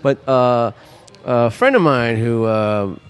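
A man's voice: mid-range voice, slow pace, clear, resonant tone, soft background music. Fifties guy from LA, co-hosting a podcast, keeps restarting his sentences. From Los Angeles. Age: 50s. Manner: rambling, self-interrupting storyteller. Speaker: podcast co-host. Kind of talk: casual chat.

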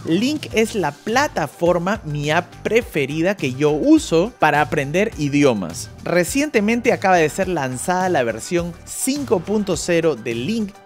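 Woman host, low voice, halting questions, faint music underneath. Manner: hesitant questions with restarts. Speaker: podcast host, interviewer. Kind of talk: podcast interview.

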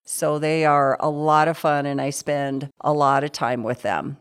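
A woman's voice: deep voice, fairly even intonation, unhurried, clean tone. A woman in her sixties from South Dakota, a city council member answering interview questions in a friendly, chatty way. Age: 60s. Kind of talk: conversational interview.

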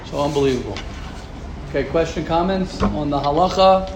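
A man teaching a Torah class, taking questions and acting out quoted gossip in a lively, repetitive way. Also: a little high, normal speed. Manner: animated, acts out quoted gossip, repeats words. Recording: echoey room, noisy ambience.

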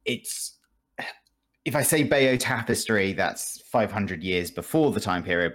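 Deep-voiced man chatting casually about games. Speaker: podcast host. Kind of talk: casual video game review chat.

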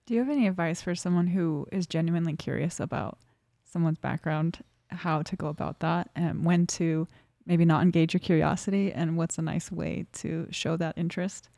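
Female interviewer, low and a bit gravelly, asks long trailing questions. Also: steady tone, normal speed, dry recording, studio quality. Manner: long rambling questions, soft trailing endings. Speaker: podcast host interviewer. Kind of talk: warm conversational interviewing.

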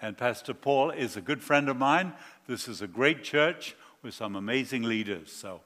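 Bright-voiced man preaching in a reverberant hall. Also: medium pitch, lively intonation, normal speed. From Argentina. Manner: jokey, anecdotal. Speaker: guest preacher. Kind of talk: sermon.

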